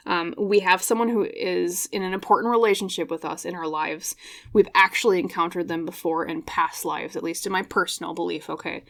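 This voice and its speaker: A woman with a somewhat low, slightly scratchy voice talks through a tarot reading into a microphone. She rambles and reflects aloud, often stopping to check in with her listeners. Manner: rambling and reflective, tag questions like "okay?". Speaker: tarot reader. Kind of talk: intuitive pick-a-card tarot reading.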